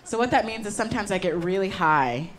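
A woman's voice: mid-range voice, lively intonation, brisk, slight background noise. A young woman doing a stand-up comedy set on stage with a handheld microphone, delivering conversational punchlines with frequent ums. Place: comedy stage before a live audience. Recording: live show with loud crowd laughter. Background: loud audience laughter.

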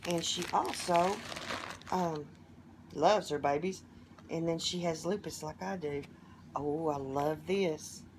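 A middle-aged woman with a hoarse voice, chatting casually as she opens gifts at home and gushes over each one. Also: lowish voice, noisy background. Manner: gushing, softly delighted exclamations. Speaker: vlogger opening gifts. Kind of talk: casual unboxing chat. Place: living room at home.

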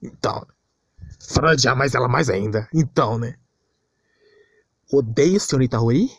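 A man's voice: mid-range voice, normal speed, dry recording, studio quality. A man with a Brazilian Portuguese accent giving an informal, exasperated rant as an anime commentator, repeating himself and using lots of slang.

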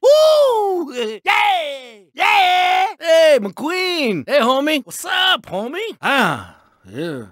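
An actor voicing a proud, laid-back lowrider car in a video game, with slangy, drawn-out street talk. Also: male voice, wildly swooping pitch, fairly bright tone, dry recording, studio quality, heavily acted. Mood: upbeat, friendly. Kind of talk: animated video game voice acting.

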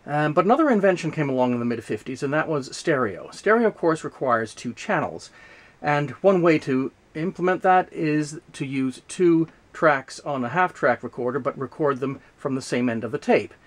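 A man giving an unscripted explanatory demonstration, speaking haltingly with frequent hesitations and self-corrections. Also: on the high side, expressive. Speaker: presenter demonstrating vintage audio gear.

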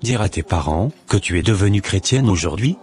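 A man voicing a calm, devout young suitor with a patient, measured manner in a scripted cartoon. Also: sits low. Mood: calm, hopeful.

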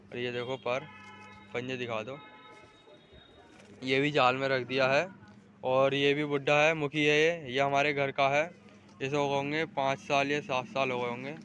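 A man's voice: medium pitch, flat delivery, normal speed, long pauses, a bit bright, slight background noise. A young man vlogging casually from a rooftop, showing off pigeons and directing his friends as he goes. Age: young adult. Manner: chatty, directing friends, calling out to viewers. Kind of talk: casual vlog narration. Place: outdoors on a rooftop. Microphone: phone camera held in hand.

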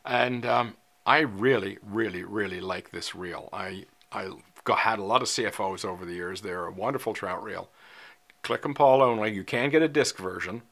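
Older man in his 60s, low-pitched, casual chatty vlogger who talks to camera with plenty of filler words. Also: normal speed, fairly bright tone. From Canada. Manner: chatty, frequent ums and uhs, gestures with hands. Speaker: fly fishing vlogger. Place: indoor studio, seated in front of a screen.

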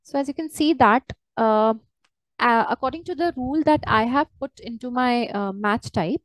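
A woman walking through a software tutorial step by step as a trainer, in a hesitant, halting way. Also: on the high side, no room sound, low-quality recording. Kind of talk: screen-share software tutorial, unscripted walkthrough.

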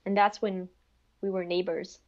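A woman's voice: mid-range voice, flat delivery, normal speed. Young woman from denmark rambling casually on a video call, lots of likes and ums, kinda dull earphone mic sound. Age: young adult. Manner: chatty, frequent "like" and "um" fillers. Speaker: podcast guest. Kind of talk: casual interview chat. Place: bedroom at home.

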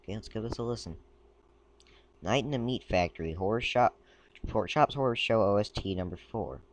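A man casually commenting while browsing a game page, talking in a rambling, halting way and stumbling as he reads aloud, a little sick. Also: on the low side, slight background noise. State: a bit sick. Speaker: gaming video creator. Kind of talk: casual screen-recording commentary.

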